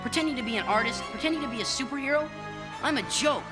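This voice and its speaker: A woman voicing a self-doubting young boy superhero in an animated cartoon. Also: sits high, fast, lightly acted. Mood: dejected. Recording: music under the voice.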